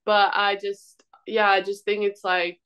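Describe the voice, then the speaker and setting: Young woman, from Greece, slow pace, clear smooth voice, medium pitch, informal hesitant interview answers. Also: little pitch movement, a bit bright. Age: young adult. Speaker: volleyball player, interview guest. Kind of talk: casual conversational interview answers.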